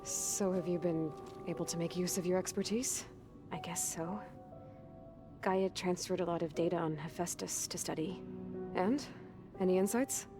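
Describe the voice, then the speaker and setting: A woman playing a guarded, earnest young adventurer in a video game, low-key and terse. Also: lightly acted. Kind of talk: video game dialogue acting. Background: game music.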